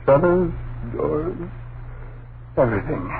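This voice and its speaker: A young husband in an old radio thriller, slow and drawling, with pitch that rises and dips expressively. He is slangy and exasperated, brushing off his wife's fears. The sound is thin, boxy vintage broadcast. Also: animated, vocal fry, heavily acted. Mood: dismissive. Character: sleepy, dismissive young husband soothing his anxious wife. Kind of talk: 1930s radio horror drama acting. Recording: noisy, muffled 1930s radio broadcast.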